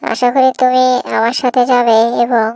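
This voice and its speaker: A woman's voice: on the high side, little pitch movement, moderate pace, a little mellow, no echo, studio quality, close to an everyday voice. A woman voicing a small worried female bird in a children's cartoon, earnestly asking for help. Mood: worried pleading. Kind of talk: children's cartoon story voice acting.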